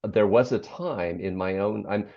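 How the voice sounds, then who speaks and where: An older speaker with a very low, gravelly bass voice answers interview questions at an easy pace, circling back with ums and restarts. The audio sounds a little dull and lo-fi. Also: normal speed, dark tone. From United States. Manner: reflective, frequent ums and restarts. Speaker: guest, author and former radio program director. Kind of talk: interview conversation.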